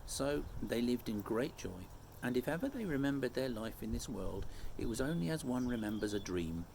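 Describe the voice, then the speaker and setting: A man reading a children's story aloud expressively, phrase by measured phrase. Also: mid-range voice, animated, gravelly, a little mellow. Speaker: storybook reader. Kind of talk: expressive scripted story narration. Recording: faint background noise.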